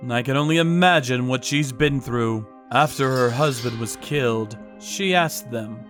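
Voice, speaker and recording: A man voicing a hardboiled male vigilante who narrates the story in a grim, steady manner in a manga dub. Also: mid-range voice, unhurried, soft background music, natural voice.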